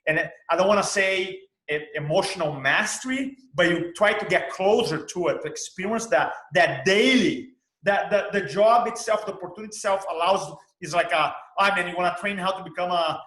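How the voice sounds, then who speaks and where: A man's voice: sits high, fairly bright tone, reverberant. A man from Brazil with a Brazilian Portuguese accent, speaking English as his third language in a casual interview, often restarting his phrases. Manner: halting, restarts phrases, then bursts of fast talk. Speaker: guest speaker coach.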